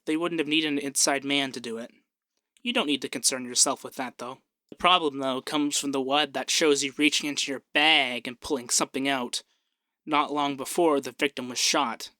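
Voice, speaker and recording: Man playing a bright-voiced peppy young femboy barrister. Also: mid-range voice, dry recording, lightly acted.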